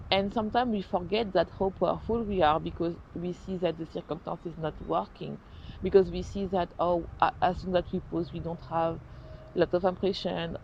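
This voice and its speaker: A woman's voice: fairly low pitch, a little mellow. A woman with a French accent hosting her own podcast, talking earnestly and animatedly in a casual solo style, often restarting and correcting herself. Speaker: podcast host. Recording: dull lo-fi sound, faint noise.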